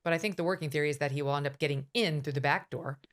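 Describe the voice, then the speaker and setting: Brief: woman host, middle-aged, low pitch, quick storyteller. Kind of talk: podcast interview.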